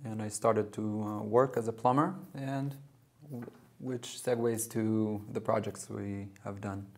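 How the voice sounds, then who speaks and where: A man in his thirties, from Russia and Israel, answering questions as a guest in a TV studio interview, halting with many fillers and restarts. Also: on the low side, fairly even intonation, a little mellow. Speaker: club president and guest. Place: small TV studio.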